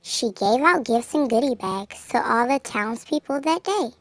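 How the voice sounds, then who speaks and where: High-voiced woman reading a children's story. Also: expressive, unhurried, a bit bright, dry recording. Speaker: children's book reader. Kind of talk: storybook read-aloud narration.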